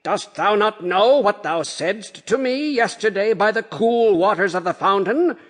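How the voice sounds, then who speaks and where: A man voicing a pleading, insistent frog in a slow storybook character voice, with long reverb. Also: high voice, pitched above the performer's own voice. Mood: pleading, calling out.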